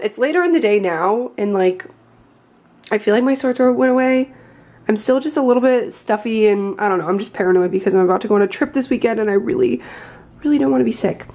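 A woman's voice: medium pitch, a little mellow. A woman in her twenties chatting casually into her phone camera at home, rambling a little and laughing now and then. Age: 20s. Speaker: vlogger. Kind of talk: casual vlog chat. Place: home bedroom, indoors. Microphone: handheld phone camera.

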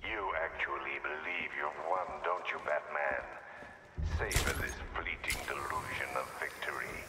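An extremely deep male villain, speaks slowly and deliberately. He gloats with cold, theatrical menace, his words swimming in heavy echo over clear noise. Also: fairly even intonation. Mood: gloating menace. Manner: slow, deliberate, ominous taunting. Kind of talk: video game villain monologue.

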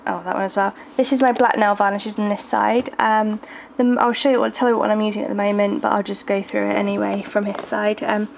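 A young woman talks through her makeup collection in a slightly raised voice, groggy and gravelly, stumbling over words with lots of ums. She films from close by in her bedroom, and the home camera audio carries a steady hiss. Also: a little high. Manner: chatty, hesitant, frequent ums and little laughs. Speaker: beauty vlogger. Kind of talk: casual makeup collection tour. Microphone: handheld camera filming from close. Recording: noisy home video recording.

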